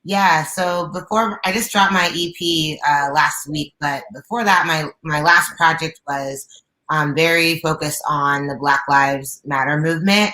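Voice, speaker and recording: Low raspy-voiced young woman. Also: steady tone, a little slow, sharp tone, reverberant, rough recording. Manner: open, reflective, many fillers and restarts. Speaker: guest singer. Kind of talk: casual interview chat. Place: bright living room at home. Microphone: video call.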